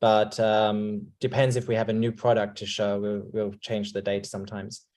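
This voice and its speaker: A man's voice: fairly low pitch, completely flat delivery, normal speed, darkish tone. Hesitant English man on a call. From England. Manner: hesitant, many restarts and ums. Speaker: company representative guest. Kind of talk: casual video-call chat.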